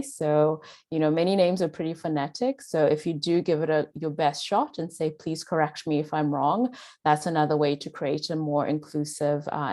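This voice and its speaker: Low-voiced woman, Zimbabwean British accent. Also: darkish tone. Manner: earnest, filler-heavy delivery with frequent "uh" and "um". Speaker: faculty presenter. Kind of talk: presentation to faculty.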